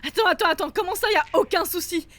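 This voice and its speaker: Lady acting scared wife in audio drama, panicky n insistent, really high voice, slight background noise. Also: fast, fairly bright tone. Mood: panicked. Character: frightened, distraught wife of a ranger.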